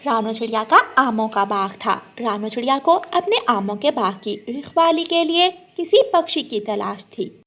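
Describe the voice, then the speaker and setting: Casting: female narrator for children's animated tales, high voice, animated intonation, scripted delivery. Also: normal speed. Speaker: storyteller, narrator.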